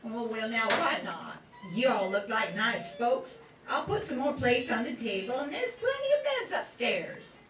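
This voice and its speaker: A woman's voice: a little high, sing-song, unhurried, hardly any pauses, background noise. A woman playing a hospitable, chatty country wife in an old-time radio drama. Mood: welcoming, homely. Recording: roomy, distant mic, faint music behind.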